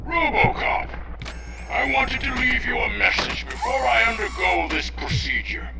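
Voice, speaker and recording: A man's voice: very high voice, lively intonation, a little slow, creaky, loud music behind the voice, exaggerated voice. An actor playing an earnest, reflective man who delivers a formal, solemn monologue in a video game. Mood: earnest, admiring.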